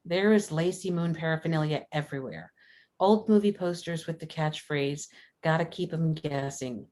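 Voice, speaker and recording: A woman's voice: low-pitched, moderate pace, a little mellow. A woman reading screenplay stage directions as scripted narration, matter-of-fact in delivery. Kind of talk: scripted narration, table read.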